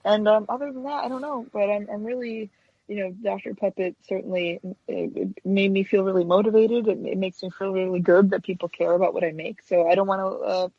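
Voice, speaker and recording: A woman's voice: medium pitch, steady tone, a little fast, breathy edge, dry recording, lo-fi sound. A woman chatting casually as an interview guest, informal and hesitant, often correcting herself. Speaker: guest, stop-motion animator.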